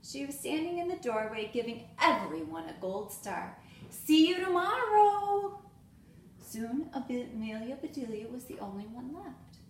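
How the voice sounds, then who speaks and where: High-voiced woman reading a storybook aloud. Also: normal speed, hardly any pauses. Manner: expressive, addressing young children. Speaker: teacher reading aloud to children. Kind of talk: animated children's storybook read-aloud. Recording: echoey room with faint noise.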